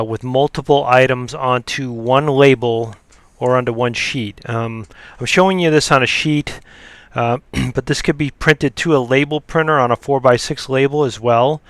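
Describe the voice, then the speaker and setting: A man giving an unscripted software demo in a halting, matter-of-fact way as he explains each step. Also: mid-range voice, smooth, no echo. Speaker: software demonstrator. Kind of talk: unscripted screen-recorded software tutorial.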